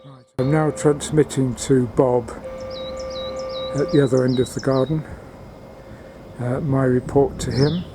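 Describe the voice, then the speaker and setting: A man giving a halting, matter-of-fact commentary on a field experiment, recorded outdoors in a garden. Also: medium pitch, fairly even intonation. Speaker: amateur radio experimenter. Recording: outdoor wind and ambient noise.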